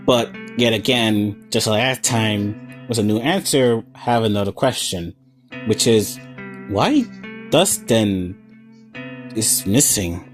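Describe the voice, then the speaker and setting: A man's voice: fairly low pitch, expressive, slow pace. A man hosting a video essay, giving casual, slightly stumbling analysis of a horror series. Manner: informal, stumbling over wording. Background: faint plucked guitar music.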